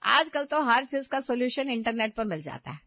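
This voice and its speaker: A woman's voice: fairly high pitch, very animated, moderate pace, no room sound, muffled recording. A woman voicing a defiant, unbothered older mother-in-law in an audio story, sarcastic, curt and self-assured. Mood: breezy, sarcastic.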